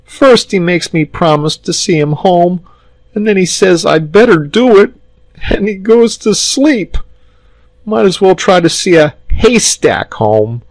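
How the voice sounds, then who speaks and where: Man putting on a high, whining little boy. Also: animated, a little slow, dry recording, low-quality recording. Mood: sobbing, aggrieved. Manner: piteous, whining, complaining. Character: tired, plaintive, tearful little boy. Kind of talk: storybook character voice.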